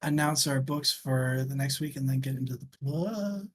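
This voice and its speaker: A man's voice: medium pitch, normal speed. A man hosting a book discussion show in casual, informal, joking chat.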